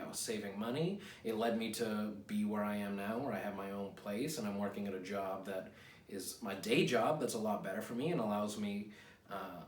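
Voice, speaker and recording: A man in his thirties chatting casually to camera as a writer, rambling in a halting, self-deprecating way. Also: on the low side. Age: 30s. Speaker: writer promoting own poetry book. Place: small home office room, indoors. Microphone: camera a few feet away. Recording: roomy, distant from the mic.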